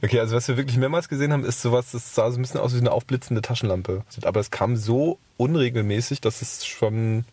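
A man's voice: medium pitch, fast talker, few pauses, warm tone, breathy edge, dry recording. A man chatting casually during an on-site reportage, recorded in the field. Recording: noisy field recording outdoors.

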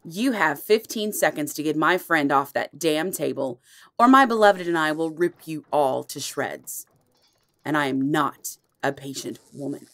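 Audio drama matriarch, female actor: low voice, cool authority, dangerous and fiercely protective of her own. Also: expressive, a bit bright, heavily acted. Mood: cold, defiant. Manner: measured, cool, imperious. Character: commanding, protective supernatural mother, dangerous and impatient. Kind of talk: audio roleplay drama acting.

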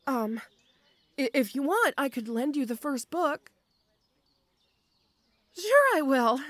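A young woman playing a shy, gentle tomboy orc schoolgirl in an audio roleplay, with a hesitant, stammering, bashful manner. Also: sits high, no echo.

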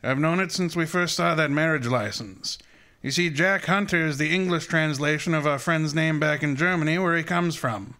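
A man acts out a commanding private detective from an old-time radio play. His voice is fairly high and strikingly forceful. He speaks in precise, formal sentences with a pompous, assured air. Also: normal speed. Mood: triumphant. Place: live stage before an audience.

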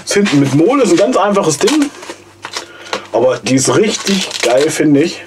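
Hoarse man with a cold, chatty. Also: a little high, sing-song, moderate pace, background noise. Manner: enthusiastic, chatty, slangy. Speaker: outdoor gear channel host. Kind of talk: casual product review vlog. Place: cluttered basement storage room, indoors. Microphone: camera mic from a few steps away.

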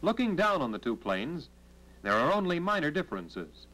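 Higher-pitched American man, vintage training-film narrator. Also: very animated, fairly bright tone, rough recording. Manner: formal, instructional, measured. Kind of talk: 1940s military training film narration, scripted. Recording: vintage 1940s film soundtrack.

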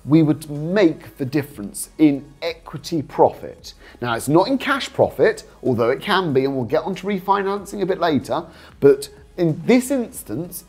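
A British man speaks in a highly varied, animated pitch with a slightly rough-edged voice. He coaches viewers informally, explaining step by step in a persuasive way and checking in with rhetorical questions. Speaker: host, property investing coach. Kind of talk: informal instructional talk to viewers.

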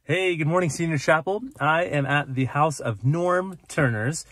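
A man's voice: fairly high pitch, normal speed, a bit bright. A man interviewing for a church, asking friendly, upbeat questions. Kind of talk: casual interview. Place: home, indoors.

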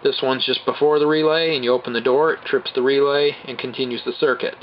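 A man's voice: medium pitch, steady tone, brisk, lo-fi sound, slight background noise. Man explaining wiring on a camcorder. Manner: explains step by step, plain and practical. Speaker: bus owner showing his build. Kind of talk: casual show-and-tell walkthrough. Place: inside a parked bus at dusk. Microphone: handheld camera mic, filming himself off screen.

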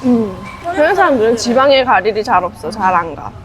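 A woman's voice: a little high, lively intonation, a little fast. A woman from North Korea chatting casually with friends as a vlogger, in an informal, giggly way. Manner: informal, often laughing while talking.

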